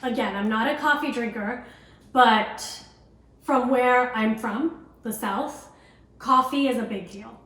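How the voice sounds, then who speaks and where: A young woman talks brightly to camera and draws out her guest with questions. Her voice sits high and lively. The sound carries an echoey kitchen room and comes from a distance. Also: takes it slowly. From grew up in Indonesia, family from South India. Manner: upbeat, chatty, prompting questions. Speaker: vlogger host. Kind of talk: casual home cooking vlog chat. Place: home kitchen.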